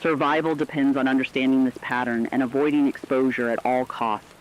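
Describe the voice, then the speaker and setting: A man playing a grave radio news reporter, delivering a formal, measured emergency bulletin in a scripted drama. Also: medium pitch, little pitch movement, highs cut off, natural voice. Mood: grave, urgent.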